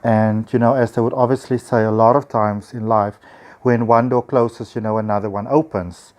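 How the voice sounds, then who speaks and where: A man's voice: fairly low pitch, steady tone, normal speed, a little mellow. A man with a South African accent talks casually and effusively to viewers in an enthusiastic, chatty vlog, recorded on a handheld phone. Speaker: spiritual healer and teacher.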